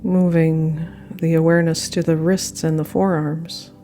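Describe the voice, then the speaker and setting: A woman guiding a yoga nidra meditation with gentle, unhurried phrasing and frequent pauses. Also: deep voice, flat delivery. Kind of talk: guided meditation narration. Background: soft meditation music.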